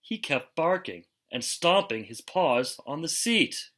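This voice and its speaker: A man reading a children's picture book aloud expressively, with deliberate, dramatic emphasis and pauses. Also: on the high side, big pitch swings, fairly bright tone. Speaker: storybook reader.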